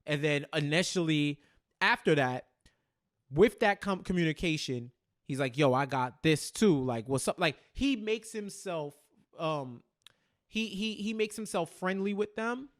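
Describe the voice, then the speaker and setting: An American man co-hosting a casual, animated TV recap, talking in slangy, repetitive phrases and acting things out. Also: a little high, measured pace. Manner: acts out characters' lines in slangy speech, repeating phrases for emphasis. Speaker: podcast co-host.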